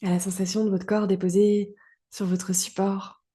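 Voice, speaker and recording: A woman guiding a relaxation meditation as a yoga teacher, calm and soothing, in short phrases with long pauses. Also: medium pitch, slightly rough. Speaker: yoga nidra guide.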